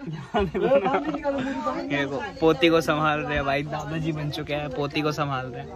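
A man's voice: a little high, moderate pace. A young man vlogging casually on a phone, playful and teasing, often repeating his phrases. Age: young adult. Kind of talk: casual vlog chat, teasing relatives. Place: inside a crowded bus at night. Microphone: handheld phone. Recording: noisy moving bus with music and crowd. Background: music, passengers, vehicle noise.

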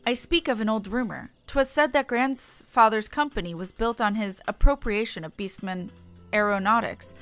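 A woman voicing an earnest small male game character with formal, archaic, measured phrasing. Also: mid-range voice, fairly bright tone, no room sound, soft background music, natural voice. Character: earnest, formal small grandson of an airship founder.

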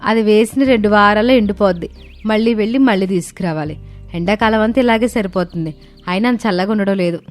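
A clever, resourceful young wife from a cartoon family story, voiced by a woman. She explains her ideas patiently in an even, mid-pitched voice, with faint music underneath. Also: fast talker, natural voice. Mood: calm.

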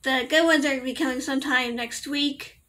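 A young woman talks to her laptop camera in a small bedroom, her voice high and bright with a slight allergy rasp. She rambles, giggles and corrects herself as she goes. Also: fairly even intonation, brisk. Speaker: vlogger. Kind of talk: casual product review to camera.

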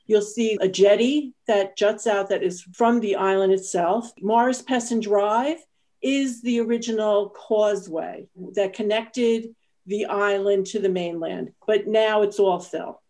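A woman's voice: mid-range voice, steady tone, unhurried, a little mellow. A woman who works as a park interpreter gives an informal historical explanation on a video call. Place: indoors at home, video call. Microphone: video call microphone.

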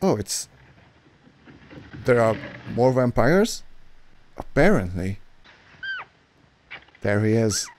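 A man in his thirties from Hungary with a Hungarian accent, chatting excitedly and correcting himself as he reacts to an old film at a studio mic. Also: medium pitch, slow pace, long pauses, slight background noise. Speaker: movie reaction host. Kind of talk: casual reaction commentary. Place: small dark home studio. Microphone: studio mic on boom arm, headphones.

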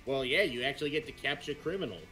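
Casting: male actor as blunt cartoon crocodile detective, mid-pitched, easygoing and halting gaming chat, music underneath. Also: sharp tone, close to an everyday voice, rough recording. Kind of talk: casual gaming chat in character. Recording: music behind the voice.

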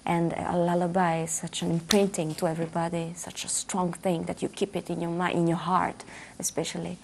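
Gravelly-voiced woman in a studio interview. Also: lowish voice, level tone, a little fast, slight background noise. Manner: thoughtful, repeats words while searching for phrasing. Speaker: musician guest. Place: dark television studio, seated.

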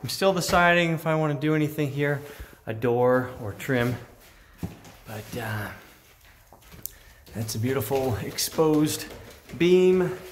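A man's voice: fairly high pitch, slow. An American man around his forties giving a casual, enthusiastic video tour in an informal, admiring way, holding a phone at arm's length. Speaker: vlogger giving a workshop tour. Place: mostly inside an empty unfinished workshop building. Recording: echoey empty room, clear background noise.

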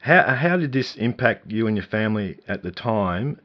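A man's voice: fairly low pitch, sing-song, moderate pace. A man hosting a radio interview, asking casual, hesitant questions with many fillers. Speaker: radio host. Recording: dull lo-fi radio audio.

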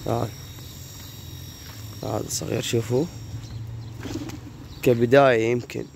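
Hoarse Iraqi man vlogging outdoors. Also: medium pitch, level tone, measured pace, a little mellow, slight background noise. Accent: Iraqi Arabic. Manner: chatty, talks to the viewers while filming. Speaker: pigeon keeper vlogger. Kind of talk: casual vlog. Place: rooftop pigeon loft outdoors. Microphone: phone camera held while walking.

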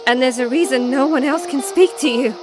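Actress as a grave, high-voiced woman investigator. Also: over music, close to an everyday voice. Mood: gently apologetic. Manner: measured, gentle, apologetic. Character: calm, grave female spirit-expert posing as a doctor. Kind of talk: radio drama acting.

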